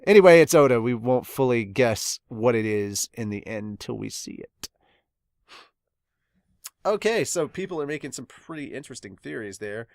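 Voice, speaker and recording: A man hosting an anime and manga review podcast, speaking in an animated, exclamatory and informal way as he gives fan commentary. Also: a little high, dry recording, studio quality. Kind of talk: casual fan commentary, reading out viewer comments.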